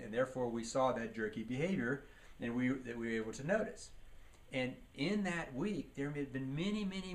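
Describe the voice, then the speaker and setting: A man giving a leadership training lecture, teaching by posing questions and answering them, with emphasis on key words. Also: mid-range voice. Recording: clearly noisy recording.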